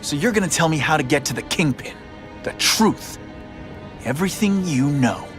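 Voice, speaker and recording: A wisecracking young masked hero, played by a man with a fairly high voice, trading sarcastic quips while holding firm to his code. Game music sits clearly under the dialogue. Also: lively intonation, normal speed, lightly acted. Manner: quippy, sarcastic banter.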